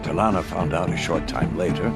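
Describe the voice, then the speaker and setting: A man's voice: low voice, a little fast, creaky, loud music behind the voice. A man playing a concerned hunters' lodge informant in a video game, reporting news with urgency.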